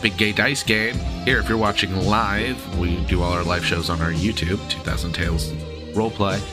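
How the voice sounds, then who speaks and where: A man's voice: deep voice, moderate pace, loud music behind the voice. A man hosting as game master, sick and congested, partly losing his voice, with a breezy, irreverent delivery. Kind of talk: recapping a tabletop game podcast.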